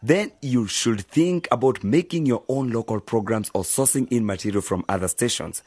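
A husky male voice from an actor plays a sensible older brother in a radio drama. He is patient and measured, laying out advice point by point. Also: medium pitch, a little mellow, no echo, natural voice. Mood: patient, instructive. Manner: measured, explanatory.

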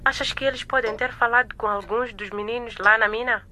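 Bright-voiced, clingy mistress. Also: female voice, on the high side, steady tone, fairly quick. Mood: coaxing. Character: clingy, coaxing secret mistress of a businessman. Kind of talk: radio soap opera acting.

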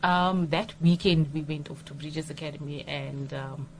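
Low-voiced nervous woman guest, candid. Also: fairly even intonation, normal speed, a little hiss or hum. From South Africa. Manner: candid, nervous, self-deprecating, many fillers. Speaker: radio guest. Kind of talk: personal story in a radio interview. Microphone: studio microphone.

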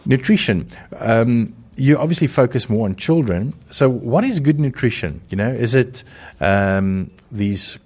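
A man's voice: medium pitch, expressive, measured pace, few pauses, a little mellow. A man with a South African accent hosting a radio talk show in a studio, chatty and rambling with many fillers.

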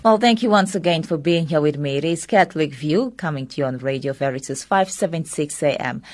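Woman host, low voice, measured studio read, dry sound, light music bed. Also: no room sound. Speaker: radio show host. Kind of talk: scripted news reading. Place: radio studio.

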